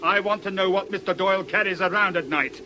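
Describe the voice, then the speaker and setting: A brisk British policeman gives orders in a high, piercing voice over faint noise. He is forceful and sardonic, in radio drama style. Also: steady tone.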